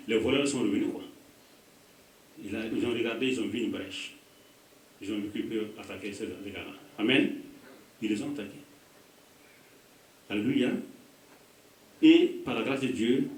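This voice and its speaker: A pastor with a West African French accent gives a call-and-response sermon, prompting the congregation to finish his phrases. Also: mid-range voice. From Burkina Faso. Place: church hall. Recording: echoey hall, voice from a distance.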